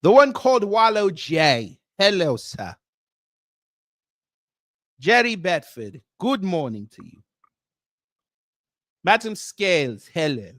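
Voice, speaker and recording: A pompous, jet-setting self-styled prince with a put-on Nigerian accent, as a man acts him out for a livestream. He talks in a high, slow, deliberate way, formal and grandiose. The recording is close and dry. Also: sing-song, fairly bright tone, no room sound, heavily acted. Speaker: livestream host. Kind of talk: comedic character livestream.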